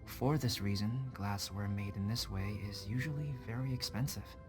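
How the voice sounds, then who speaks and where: A man voicing a calm, scholarly young alchemist in a video game, speaking in a measured, articulate, contemplative way. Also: fairly low pitch, natural voice. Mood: calm, explanatory. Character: calm, scholarly young male alchemist, artificially created human. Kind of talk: scripted English video game voice acting. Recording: prominent game music behind voice.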